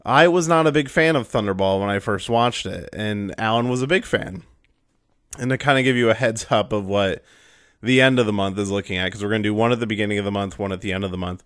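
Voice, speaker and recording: A young man hosting a podcast, hoarse and raspy from a cold, rambling through his intro with fillers and restarts. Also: fairly low pitch, quick pace, fairly bright tone, dry recording, clean studio sound. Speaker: podcast host.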